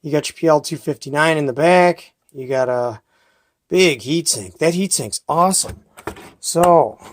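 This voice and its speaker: A man's voice: a little high, a little slow, a little hiss or hum. An enthusiastic man hosts a casual gear review in a folksy, rambling way. He films at home by himself on a handheld camera mic. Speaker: host of a CB radio review channel. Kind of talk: casual product review and unboxing.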